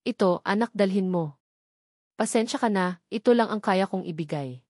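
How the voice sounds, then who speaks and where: A woman's voice: medium pitch, normal speed, darkish tone, no echo, studio quality, natural voice. A woman voicing a remorseful, weeping old farmer father who pleads with his daughter in an audio drama. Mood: insistent. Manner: pleading, guilt-ridden.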